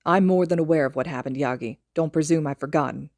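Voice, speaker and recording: Woman voicing a blunt, low-voiced man. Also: fairly even intonation, moderate pace, warm tone, no room sound. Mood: stubborn, curt. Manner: dry, terse, argumentative. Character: dull, blunt, stubborn grown man in story.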